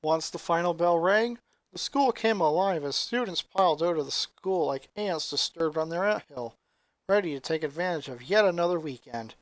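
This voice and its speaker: A narrator reading scripted fan fiction aloud in an expressive storytelling style. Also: medium pitch, moderate pace, dry recording.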